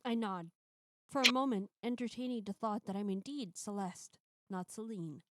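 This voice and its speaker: A woman's voice: medium pitch, normal speed, heavy background noise. A woman streamer reading a visual novel's first-person narration dramatically, playfully breaking off for casual asides.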